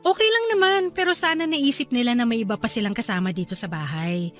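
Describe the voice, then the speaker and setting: Radio drama wife, young, Filipino accent, high and tender, caring manner. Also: female voice, lively intonation, fast talker, a bit bright, soft background music. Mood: mildly complaining. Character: gentle, affectionate young wife, quietly bothered, in radio drama.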